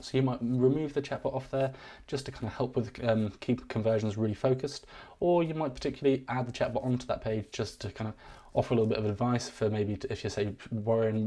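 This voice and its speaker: Fast-talking low-voiced man demoing software. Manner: rambling, self-deprecating, chuckling. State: voice wearing thin. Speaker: software demo presenter. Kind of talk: live-streamed product walkthrough.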